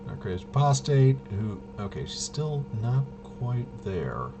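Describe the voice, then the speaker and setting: A man thinking aloud in casual tabletop gameplay commentary, halting and muttering to himself. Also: mid-range voice, very animated, dull audio, over music. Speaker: tabletop RPG player and presenter.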